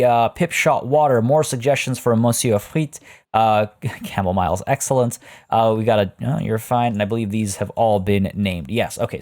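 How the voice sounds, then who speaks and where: A man hosting a let's play, rambling through his building steps and chatting with viewers, a little hoarse. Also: on the low side, darkish tone. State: losing his voice. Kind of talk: casual gameplay commentary.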